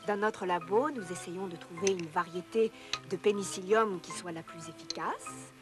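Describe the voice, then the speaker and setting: A woman's voice: lowish voice, moderate pace, music in the background, natural voice. A woman playing a businesslike laboratory researcher in a dubbed educational cartoon, explaining matter-of-factly. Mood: explanatory.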